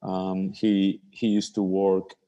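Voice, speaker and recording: Low, mellow-voiced Argentine man. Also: takes it slowly. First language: Spanish. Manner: relaxed storytelling, slightly non-native phrasing. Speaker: chef, guest. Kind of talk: casual interview.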